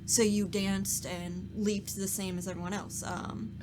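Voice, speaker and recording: A woman's voice: mid-range voice, flat delivery, measured pace, music in the background, low-quality recording. A woman who co-hosts a podcast and voice acts, chatting informally in a group discussion. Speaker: podcast co-host and voice actor. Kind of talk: casual round-table chat.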